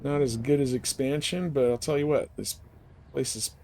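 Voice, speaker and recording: A man's voice: medium pitch, fairly even intonation, normal speed, mellow, a little hiss or hum. A man giving casual, folksy live commentary as he streams a game, laid-back in manner.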